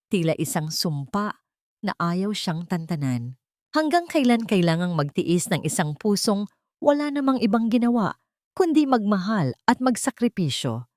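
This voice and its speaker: A woman with a fairly low voice narrates a sentimental audio drama. Her delivery is solemn and scripted, and the sound is dry and close, with little room in it. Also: darkish tone, no room sound. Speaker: storyteller, narrator.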